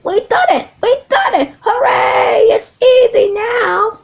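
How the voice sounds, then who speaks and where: A woman voicing a proud red male steam engine, chastened and determined, high and emotional. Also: expressive, measured pace, gravelly, slight background noise, full character voice. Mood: straining, cheering.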